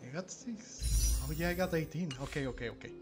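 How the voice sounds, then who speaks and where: A man doing casual gameplay commentary and reading dialogue aloud, with a hesitant, halting delivery. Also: a little high. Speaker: gamer playing through a story game and reading out dialogue. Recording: music playing behind the voice. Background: game music.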